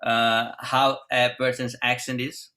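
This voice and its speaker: A man hosting an interview over a video call, asking questions in halting, non-native phrasing. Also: mid-range voice, level tone, pushed and bright. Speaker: interview host.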